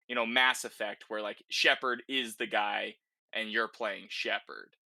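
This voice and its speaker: A man's voice: on the low side, fairly even intonation, unhurried, gravelly, bright, forceful tone, no room sound. A man hosting a casual, conversational podcast discussion about video games, often checking in with short tag questions. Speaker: podcast host.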